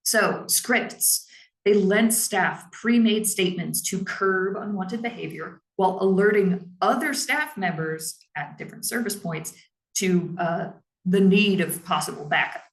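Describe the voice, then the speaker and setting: A woman who heads a public library, giving a measured, explanatory presentation on staff training in her naturally lower voice. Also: echoey room, rough recording. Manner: measured and explanatory, with frequent "um" and "now".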